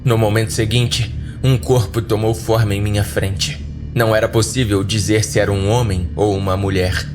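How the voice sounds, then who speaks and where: A man's voice: fairly low pitch, flat delivery, normal speed. A man with a Brazilian accent narrating a scripted horror story dramatically for a podcast. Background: background music.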